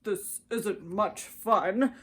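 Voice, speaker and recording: A woman voicing a huge, kindly male storybook elephant with slow, emphatic, earnest speech. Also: medium pitch, fairly bright tone, slightly rough, exaggerated voice. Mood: glum. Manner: slow, emphatic, drawn-out delivery. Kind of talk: children's storybook character voice.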